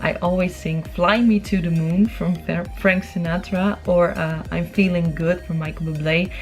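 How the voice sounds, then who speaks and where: A young woman with a Dutch accent talks in a fairly low voice over light background music. She is chatty and informal, answering questions for a vlog and feeling her way through her sentences. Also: fairly even intonation, normal speed. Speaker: vlogger and amateur singer. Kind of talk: casual Q&A chat to camera.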